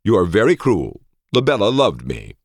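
A man plays a flamboyant nobleman in a story reading. The voice lifts well above a deep base and swings widely, exclamatory and theatrical, full of mock indignation. The sound is close and dry. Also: huge pitch swings, moderate pace, vocal fry, no echo, exaggerated voice. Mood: wounded protest. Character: flamboyant, mock-outraged lord, jovial old friend. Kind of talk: audiobook character voice.

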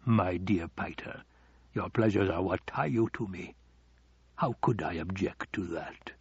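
A man voicing a scheming, silkily menacing older nobleman in an audiobook, with slow, drawn-out taunts. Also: deep voice, husky, no room sound, lo-fi sound, exaggerated voice. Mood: silky mockery.

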